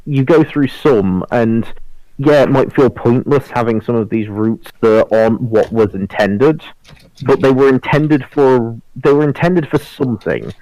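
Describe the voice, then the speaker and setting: A man's voice: medium pitch, a little mellow, no echo, low-quality recording. A man talking casually and informally as a panellist in a podcast discussion, given to banter.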